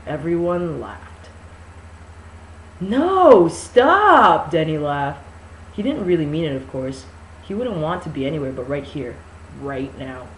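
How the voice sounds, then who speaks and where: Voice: mid-range voice, normal speed, mellow. Sarcastic, sweary amateur reader in an echoey room. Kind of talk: mock-dramatic novel reading with sarcastic asides. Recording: echoey room with faint noise.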